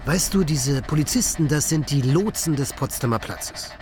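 An actor playing a talkative, whimsical city flâneur with florid, playful phrasing in a radio play. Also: male voice, on the high side, expressive, normal speed, background noise, close to an everyday voice. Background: music.

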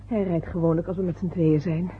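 A woman's voice: fairly low pitch, little pitch movement, a little fast, close to an everyday voice. An actress playing a caring young woman in a radio play. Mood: concerned. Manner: gentle, concerned. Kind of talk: radio drama acting. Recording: thin phone-like old radio recording with faint hiss.

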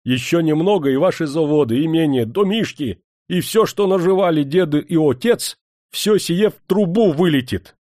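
A man's voice: lowish voice, little pitch movement, unhurried, hardly any pauses, darkish tone, no room sound, clean studio sound. A man voicing a harried, irascible estate steward in a historical audiobook, exasperated, querulous and sarcastic.

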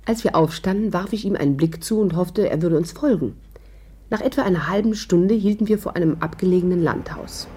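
Husky, mellow female narrator. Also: fairly low pitch, a little fast. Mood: reflective. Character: adult woman recounting her own adventure. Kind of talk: scripted radio play narration.

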